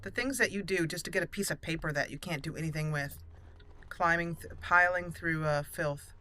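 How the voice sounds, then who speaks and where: A woman hosting a roleplay game playthrough, commenting and reading aloud deliberately as she thinks. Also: deep voice, bright, forceful tone. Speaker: let's play host. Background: game music.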